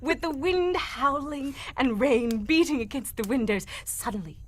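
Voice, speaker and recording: A woman's voice: high voice, a little slow. A woman playing a proper, anxious young lady recounting a frightening story in a live old-time radio mystery, with theatrical delivery. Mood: dramatic dread. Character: Helen Stoner, proper anxious young Englishwoman telling her story.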